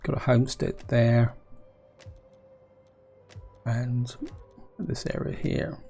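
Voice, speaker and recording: A hoarse man with a cold, musing aloud as he plays a strategy game, often asking himself questions and speaking to his viewers. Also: mid-range voice, fairly even intonation, slow, mellow, soft background music. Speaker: gameplay commentator.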